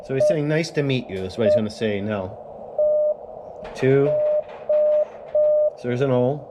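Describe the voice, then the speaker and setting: A man from Canada chats through his ham radio contact in a laid-back, filler-heavy way at a middling pitch. The action-camera audio is noisy, with beeping code tones in the background. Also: unhurried. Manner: relaxed, explanatory, with many fillers. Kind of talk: casual narration of a radio contact.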